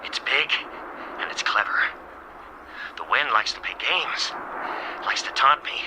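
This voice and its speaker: An actor playing a terrified, obsessed man besieged by a living wind in a radio drama, halting and overwrought. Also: mid-range voice, lightly acted. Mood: haunted dread. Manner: overwrought, halting, breaking into manic laughter and cries. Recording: noisy old radio drama broadcast.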